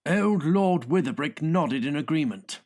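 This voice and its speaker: A man's voice: a little high, expressive, a little slow, darkish tone. A man narrating scripted comic fiction as an audiobook reader, with a theatrical storytelling delivery.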